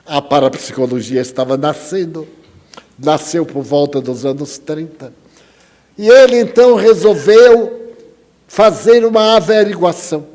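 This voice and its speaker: A man lectures in a slow, unhurried way, his voice fairly high for a man yet mellow. He tells stories with measured pauses and sudden dramatic lifts. The sound carries a long hall reverb. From Brazil. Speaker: spiritist lecturer. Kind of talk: spiritist lecture storytelling.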